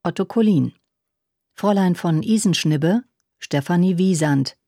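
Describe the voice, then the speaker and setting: A woman radio announcer reading scripted credits in a formal, measured, matter-of-fact way. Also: on the low side, dark tone, no room sound, clean studio sound.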